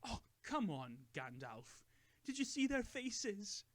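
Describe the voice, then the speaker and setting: A man's voice: mid-range voice, expressive, slow pace. A man voicing an elderly male hobbit who is chatty, fussy and possessive of a ring. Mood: cheerful. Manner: chatty, turning clingy and snappish.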